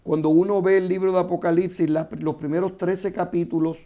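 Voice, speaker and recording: A man, a guest author, explaining scripture in a measured, teaching way on a studio panel. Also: high-pitched, level tone, mellow, dull audio.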